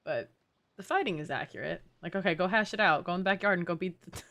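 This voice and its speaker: A woman's voice: lowish voice, expressive, fast talker, fairly bright tone. A young adult woman giving casual, slangy, joking commentary while livestreaming a game.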